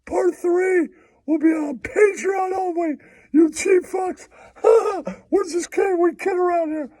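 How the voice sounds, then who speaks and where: A man voicing a giggly, foul-mouthed cartoon mouse puppet in squeaky falsetto, teasing and pitching in a comic puppet show. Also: slow pace, mellow, no room sound. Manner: giggly, repeats taunting catchphrases.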